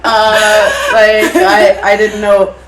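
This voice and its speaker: A woman's voice: medium pitch, completely flat delivery, very slow, roomy sound, loud music behind the voice. A woman from Canada, a guest in a casual chat, who gives short replies and laughs easily.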